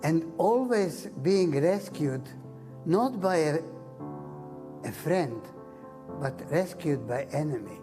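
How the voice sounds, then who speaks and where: Elderly survivor, slow halting testimony, hall reverb, music bed. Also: mid-range voice, animated. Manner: pausing to search for words. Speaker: Holocaust survivor giving testimony. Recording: reverberant room.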